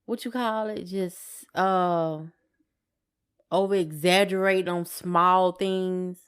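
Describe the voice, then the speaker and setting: A woman's voice: fairly low pitch, steady tone, slow, clear, resonant tone. A woman co-hosting a casual podcast chat, giving brief answers and repeating her words. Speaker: podcast co-host.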